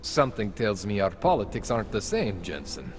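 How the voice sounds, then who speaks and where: A man's voice: on the low side, sing-song, brisk. An actor playing a blunt male activist who talks casually and without pity. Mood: callous. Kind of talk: video game dialogue.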